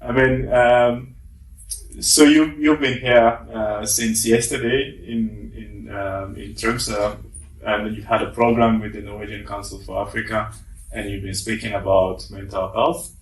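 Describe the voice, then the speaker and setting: A man hosting an interview, asking questions in a hesitant, halting way with many ums and repeated words. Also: lowish voice, flat delivery. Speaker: interview host. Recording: echoey room, mic at a distance, faint noise.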